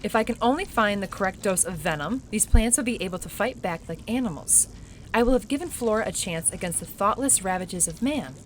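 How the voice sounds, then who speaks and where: A woman playing an earnest, idealistic female botanist in an audio drama, dictating notes in a deliberate, articulate way. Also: mid-range voice, expressive, natural voice, low-quality recording. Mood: earnest resolve. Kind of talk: hobby audio drama acting. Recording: faint background noise and ambience.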